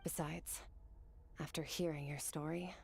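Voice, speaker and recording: An actress playing a composed, resolute young warrior disciple in a video game, speaking in a measured, firm and earnest way. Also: female voice, low voice, steady tone, creaky, slight background noise. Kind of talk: scripted game dialogue.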